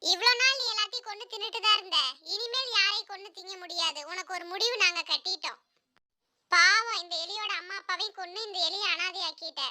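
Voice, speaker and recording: A woman's voice: very high-pitched, fast, bright, forceful tone, heavily acted. A woman voicing small animals in a cartoon moral story, childlike and earnest. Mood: indignant. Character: small cartoon animals: orphaned mouse child, caring mother bird.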